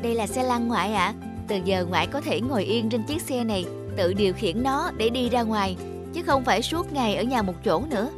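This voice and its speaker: A woman's voice: on the high side, expressive, brisk, music in the background, natural voice. A woman voicing a devoted, eager young grandson in a cartoon, earnest and respectful. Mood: eager, affectionate. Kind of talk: cartoon voice acting.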